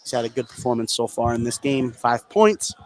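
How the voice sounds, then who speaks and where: A man giving excitable live play-by-play radio commentary on a basketball game, his voice rising on big plays. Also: mid-range voice, brisk. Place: live at a high school basketball gym. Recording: clear background noise, gym ambience.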